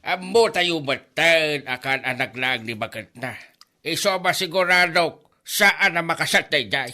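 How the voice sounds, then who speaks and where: A man's voice: fairly high pitch, lively intonation, unhurried, pushed and bright, heavily acted. A man with an Ilocano accent playing a gossipy, exclamatory village neighbour in a comedy drama.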